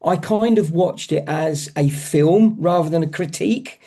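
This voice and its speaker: A man's voice: on the high side, unhurried, dark tone. A man, a podcast guest telling stories with enthusiasm. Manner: enthusiastic, storytelling, calls host bud. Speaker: podcast guest, motorsport fan. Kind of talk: casual podcast chat.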